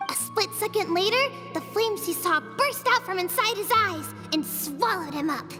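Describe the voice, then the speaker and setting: An eager young village girl from a video game, very high and childlike. She tells her tales earnestly, with long reverb and music behind her. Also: lively intonation, moderate pace. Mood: earnest narrating.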